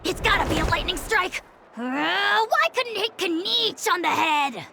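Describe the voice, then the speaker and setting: A woman voicing a small, excitable, childlike fairy companion in a video game, blurting out exclamations. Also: very high-pitched, unhurried, gravelly, very noisy, exaggerated voice. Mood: alarmed, grumbling.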